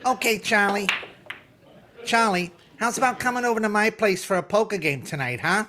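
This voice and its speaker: A man's voice: sits high, moderate pace, background noise, natural voice. A man playing a gullible, out-of-work pool buddy in a 1950s-style radio comedy, the wide-eyed straight man. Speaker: radio play actor.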